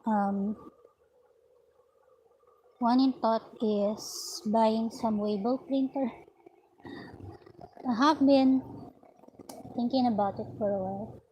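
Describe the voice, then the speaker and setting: A woman vlogging about her crochet work in an unhurried, chatty way, her voice slightly raspy. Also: a little high, fairly even intonation, warm tone, a little hiss or hum, poor audio. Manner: unhurried, chatty, talks while working. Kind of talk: slow casual vlog narration while crocheting. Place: at home, indoors.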